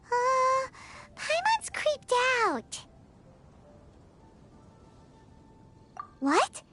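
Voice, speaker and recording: A woman voicing a tiny, childlike fairy companion in a video game, excitable, cheeky and nosy, who talks about herself in the third person. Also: very high voice, extremely slow, slight background noise, full character voice. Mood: puzzled. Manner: refers to self by own name in third person. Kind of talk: video game character acting.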